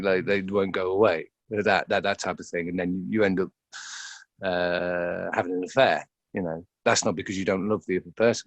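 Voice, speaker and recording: Low-voiced, halting man in thoughtful conversation. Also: no echo. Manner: halting and searching, often repeating words. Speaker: interview guest, actor. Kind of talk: reflective, informal interview conversation.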